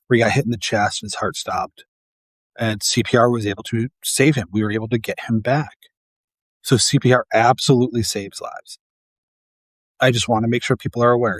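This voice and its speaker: A man's voice: on the low side, dry recording. A man who works as a paramedic, talking casually and earnestly to camera, tired and losing his voice.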